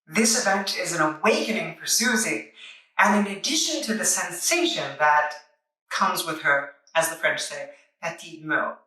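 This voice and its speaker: Female reviewer, bright and wry, recorded in a very echoey room a bit away from the mic. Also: on the low side, animated, moderate pace. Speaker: comic book reviewer, video host. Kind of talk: semi-scripted review narration. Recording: echoey room, distant microphone.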